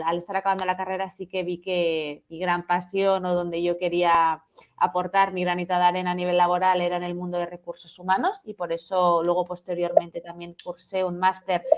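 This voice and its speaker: A woman in her thirties from Barcelona, a recruiter introducing herself fluently on an online panel and mixing in English terms. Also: on the low side. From Spain, Barcelona. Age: 30s. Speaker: talent acquisition manager, guest.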